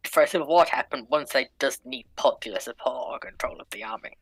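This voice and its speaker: Low-voiced woman in casual discussion. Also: animated, quick pace, gravelly, husky, no echo, soft background music, low-quality recording. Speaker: panelist.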